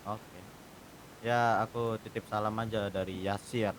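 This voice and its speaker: A man's voice: fairly even intonation, a little slow. A man bantering casually with other players in a roleplay game. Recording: very noisy, loud rumble.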